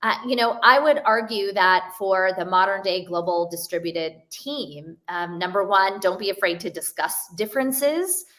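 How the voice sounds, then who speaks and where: A woman's voice: mid-range voice, normal speed, few pauses, a bit bright. Articulate woman on a video panel call. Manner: articulate, structured, anecdotal. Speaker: panelist, collaboration expert. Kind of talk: panel discussion.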